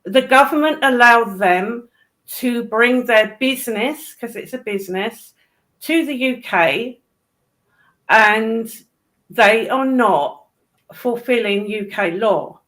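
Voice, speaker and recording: A woman's voice: fairly high pitch, slow, a bit bright, poor audio. A woman in casual discussion, speaking in a blunt, opinionated way.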